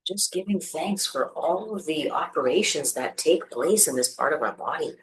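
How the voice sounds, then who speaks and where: A meditation teacher guides listeners through a practice in a medium-pitched voice. The delivery is gentle and soothing, with space left between instructions. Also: expressive, moderate pace, rough recording.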